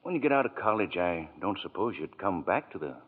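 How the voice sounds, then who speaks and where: An actor playing a kind, tired middle-aged father who speaks gently, in 1940s radio acting, on a scratchy 1940s radio broadcast. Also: low-pitched, animated, fairly quick, slightly rough, long reverb tail, narrow, tinny audio.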